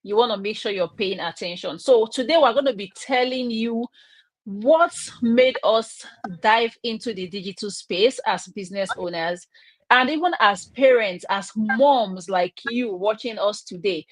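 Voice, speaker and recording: A woman's voice: mid-range voice, unhurried, dry recording, low-quality recording. A woman from Nigeria hosting a live online chat, upbeat and inviting.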